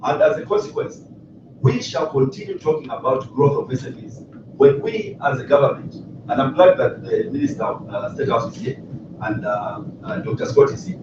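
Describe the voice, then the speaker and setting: A man's voice: mid-range voice, huge pitch swings, moderate pace, noisy background. A man arguing a point emphatically at a panel discussion in a conference hall. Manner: emphatic, argumentative. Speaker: panel speaker. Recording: echoey hall, distant mic, muffled.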